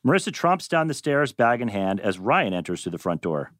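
A man's voice: medium pitch, big pitch swings, brisk, a bit bright, no echo, clean studio sound. A man reading stage directions as narrator at a script table read.

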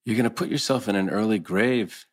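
Man reading as low-voiced concerned lawyer. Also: fairly quick, close to an everyday voice. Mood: concerned, persuasive. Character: concerned, insistent married lawyer in a teen drama. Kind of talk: table read acting.

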